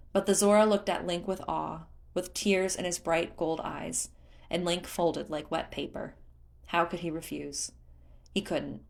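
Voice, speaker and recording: A woman reading a story aloud in an expressive, scripted narration style. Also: on the low side, gravelly. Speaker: fan fiction narrator.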